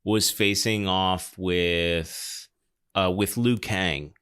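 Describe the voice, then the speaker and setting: Male podcast host, lowish register, unhurried and deliberate, dryly understated casual movie chat. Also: steady tone, a bit bright, smooth. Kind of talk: casual film discussion.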